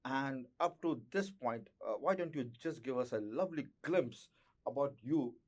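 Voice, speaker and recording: A man's voice: medium pitch, animated, normal speed. A man hosting an interview, asking effusive questions in flowery, repetitive phrasing. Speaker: interview host.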